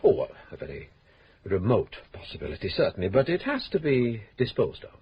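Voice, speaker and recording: An actor playing a polite, probing police inspector in a radio drama, courteous and measured. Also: male voice, medium pitch, wildly swooping pitch, dull audio, a little hiss or hum. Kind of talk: 1970s-80s radio drama acting.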